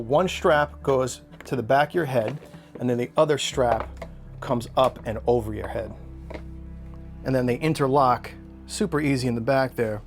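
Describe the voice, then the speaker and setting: A man's voice: medium pitch, gravelly. A man hosting an unboxing video, chatting casually and enthusiastically in an unscripted product review style. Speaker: collectibles unboxing video host.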